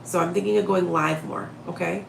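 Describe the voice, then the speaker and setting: Woman, raspy, head cold, sniffly, short-winded, rambling live-stream chat, echoey room. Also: lowish voice, fairly even intonation, brisk, a little hiss or hum. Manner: rambling, restarts often, talks straight to viewers. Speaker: psychic medium live-streaming to followers.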